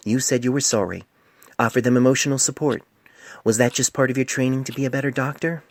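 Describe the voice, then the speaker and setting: Guy doing an older lady pony doctor for a story, stern and wise, measured scolding, creaky edge. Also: medium pitch, fairly even intonation, voice pushed up, natural voice. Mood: probing, stern. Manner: measured, grave, admonishing.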